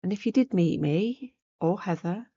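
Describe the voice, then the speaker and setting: A woman with a croaky, hoarse voice from a cold, giving a casual, chatty craft tutorial and thinking aloud as she goes. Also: on the low side, expressive, normal speed, mellow, lo-fi sound. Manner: unscripted, rambling, thinking aloud while working. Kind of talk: casual chatty needlework demonstration.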